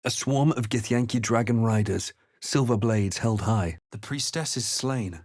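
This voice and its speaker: Casting: a man as brooding male adventurer for a fantasy video game, mid-pitched, earnest, theatrical, dry studio sound. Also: measured pace, darkish tone, no room sound, lightly acted. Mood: solemn, tense. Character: brooding male fantasy adventurer hero, narrating his visions and deeds. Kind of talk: dramatic video game voice acting.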